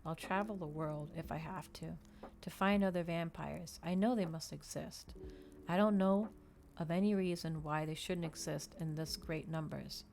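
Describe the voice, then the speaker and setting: Woman, lowish voice, creaky fry, soft ASMR storytelling, measured and expressive, noisy background. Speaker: streamer reading aloud for listeners. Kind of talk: soft ASMR audiobook reading.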